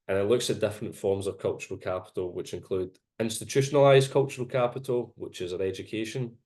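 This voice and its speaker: A man from Glasgow with a strong Glaswegian accent walks through his research findings. His voice sits fairly low and he explains each point in a measured, steady way. It sounds like a video call. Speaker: presenting researcher. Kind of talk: academic seminar presentation.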